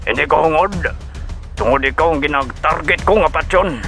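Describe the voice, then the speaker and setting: A man's voice: fairly high pitch, normal speed, bright, forceful tone. A man playing a desperate, agitated gunman defending himself to his rival in an audio drama. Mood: desperate, defensive. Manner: agitated, exclamatory. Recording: music under the voice.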